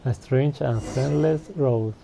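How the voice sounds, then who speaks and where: A man's voice: medium pitch, slow, gravelly. A laid-back man doing casual video game playthrough commentary and reading out dialogue, on a muffled mic with faint game music behind. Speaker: gamer playing through a video game.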